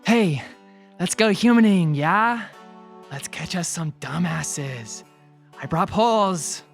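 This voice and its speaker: A woman's voice: on the low side, expressive, slow, gravelly, soft background music, voice pushed down, heavily acted. A woman voicing an eager, cocky, sadistic catfish puppet in an audiobook, with a swaggering drawl. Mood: eager, scheming. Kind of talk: audiobook character voice.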